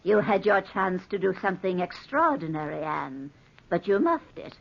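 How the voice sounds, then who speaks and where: An actress playing a haughty countess who holds seances, grand and commanding in old-time radio horror style. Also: female voice, mid-range voice, very animated, creaky. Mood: scornful. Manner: grand, slow, commanding incantation. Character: imperious countess medium, theatrical, haughty, summoning spirits. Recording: dull vintage radio recording.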